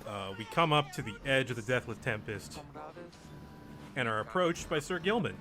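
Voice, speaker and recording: A man's voice: mid-range voice, expressive, moderate pace. A man giving a casual recap of a video game as a podcast host.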